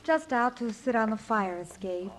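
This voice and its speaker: A woman's voice: a little high, lively intonation, moderate pace, close to an everyday voice. A woman playing a dreamy young working-class daughter in a 1950s radio musical. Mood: wistful. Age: young adult. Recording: old radio broadcast with loud music.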